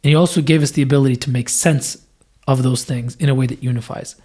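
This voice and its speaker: A man's voice: mid-range voice, steady tone, fast talker, a little mellow. A man with a scratchy voice, a deacon explaining church worship in earnest, thoughtful conversation, building his points through repetition. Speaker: deacon guest.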